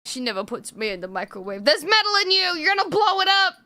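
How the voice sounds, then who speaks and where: A woman's voice: very high voice, very animated, fast talker, pushed and bright. A young woman streamer chatting casually with viewers, swearing freely and laughing often. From Australia.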